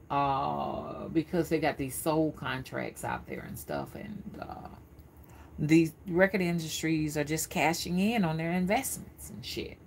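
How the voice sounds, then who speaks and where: A woman's voice: on the low side, fairly bright tone, a little hiss or hum. A woman in her fifties talking casually on a live stream, rambling and often repeating her words as she goes. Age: 50s. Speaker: spiritual reader streaming live.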